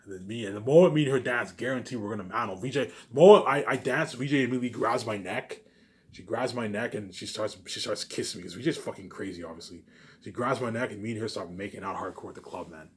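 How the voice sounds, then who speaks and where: Fast-talking man, boastful and repetitive. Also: on the low side. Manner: repetitive, circling back over the same phrases; boastful, self-aggrandizing. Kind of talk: rambling informal monologue to viewers.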